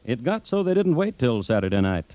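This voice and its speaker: An actor playing a dry, macabre true-crime host, narrating deliberately with wry pauses in an American accent on old-time radio. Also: male voice, mid-range voice, sing-song, darkish tone, dry recording, close to an everyday voice. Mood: wry, grave. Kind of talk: 1950s radio scripted narration.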